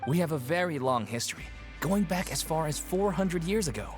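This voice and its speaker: A man's voice: on the high side, animated, a little fast, natural voice. A man playing an earnest, welcoming prisoner. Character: friendly prisoner recruiting for a mutual aid group. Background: game music.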